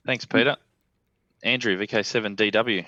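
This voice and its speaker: Host guy, low voice but bright and forceful, kinda muffled lo-fi audio, dry. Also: no room sound. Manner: brief, easygoing, laughs readily. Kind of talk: hosting a ham radio video call.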